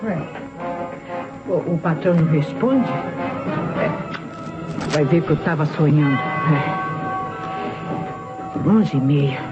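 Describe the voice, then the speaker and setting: A man's voice: on the high side, lively intonation, slow, pauses often. A performer, a man, playing a drowsy, puzzled household servant in an old radio mystery, with a Brazilian accent. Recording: muffled old radio with loud music.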